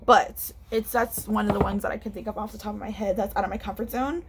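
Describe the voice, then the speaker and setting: A woman's voice: mid-range voice, steady tone, quick pace, noisy background. A young woman, a book vlogger chatting casually at home, a little congested, rambling and restarting as she talks. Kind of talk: casual chat to camera. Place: home room.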